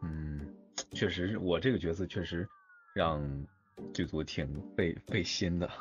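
A man's voice: sits low, a little slow. A man, a voice actor, chatting casually in a modest, self-deprecating and hesitant manner. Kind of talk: casual group chat. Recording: muffled online voice chat, music behind. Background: background music.

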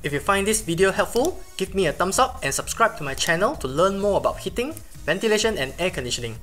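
A host giving a scripted, step-by-step technical lesson as a voice-over over drawings and charts, explaining in a steady, methodical way. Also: mid-range voice, fast talker, soft background music. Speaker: HVAC tutorial host. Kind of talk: scripted instructional narration.

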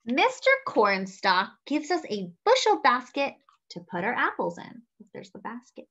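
A young woman reading a picture book aloud to children with animated, expressive delivery. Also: on the high side, measured pace, breathy edge. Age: young adult. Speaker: children's story-time reader. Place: small room indoors at home. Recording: slightly dull webcam audio.

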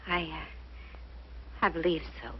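A woman's voice: low voice, level tone, takes it slowly, breathy edge, full character voice. An actress playing a gracious lady who stays composed under strain, restrained, polite and hesitant, in 1950s mystery drama. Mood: composed, uneasy. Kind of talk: 1950s television mystery acting. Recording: dull 1950s soundtrack with faint music.

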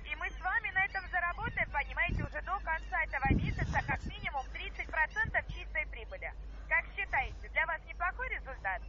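A woman giving an insistent sales pitch over the phone, peppering the listener with questions. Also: animated, fast talker, a crowd in the background. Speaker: cold-call investment salesperson. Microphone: phone call. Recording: noisy muffled telephone line.

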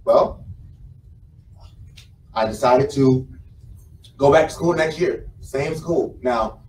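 A man's voice: medium pitch, takes it slowly, a little mellow. A man in his thirties with an American accent, telling his story conversationally as a motivational speaker, repeating phrases for emphasis. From South Carolina, United States. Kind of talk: informal motivational speech to a live audience. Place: indoor conference room with a small stage. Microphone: camera mic from the audience, several meters away. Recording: roomy, distant mic, some background noise.

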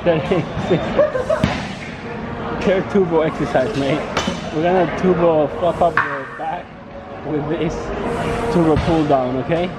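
A man's voice: fairly high pitch, fairly even intonation, a little slow, pauses often, loud music behind the voice. A young man talking brashly to a handheld camera in a casual fitness vlog, swearing and calling the viewer "man" and "mate". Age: young adult. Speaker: fitness vlogger. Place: car, gym and beach. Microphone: handheld camera at arm's length.

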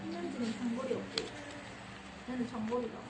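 Woman, high voice, blunt teasing banter, coarse slang, echoey and very noisy room. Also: a little fast. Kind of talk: casual banter beside a livestream.

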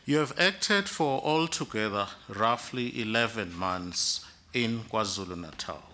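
Male commissioner, direct questioner, bright and forceful tone. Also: lowish voice, expressive, a little slow, slight background noise. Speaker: interview panel commissioner. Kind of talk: formal interview questioning.

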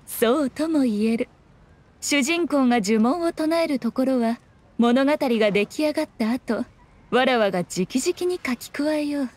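An actress playing a sly, self-assured fox shrine maiden in a Japanese video game, speaking in a lofty, archaic, composed manner. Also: female voice, high voice, darkish tone. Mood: amused. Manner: archaic, lofty, unhurried speech with teasing composure.

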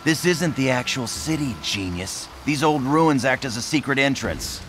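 A man's voice: mid-range voice, lively intonation, moderate pace, a bit bright, close to an everyday voice. Guy doing a snarky smart-aleck villain for a game, medium voice, bit of background noise. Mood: snide. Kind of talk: animated video game acting.

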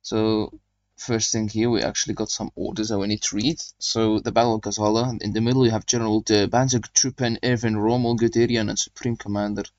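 A man's voice: on the low side, flat delivery, a little fast, darkish tone, no echo, muffled recording. A young man hosting a gaming channel, chatting casually as he plays and reads mission briefings aloud. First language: not German. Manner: chatty, rambling, reading game briefings aloud. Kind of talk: casual game commentary.

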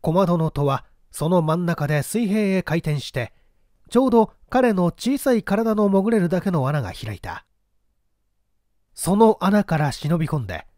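A man's voice: a little high, darkish tone, no echo, studio quality. A man reading an old mystery novel aloud as dramatic, theatrical narration. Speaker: audiobook reader.

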